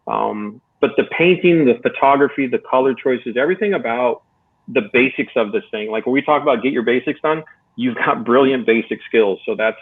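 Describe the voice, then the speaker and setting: A man's voice comes through a thin, phone-like channel at a middling pitch. He talks casually and encouragingly, explaining things step by step as a judge giving feedback. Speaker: contest judge. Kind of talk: casual spoken critique and advice.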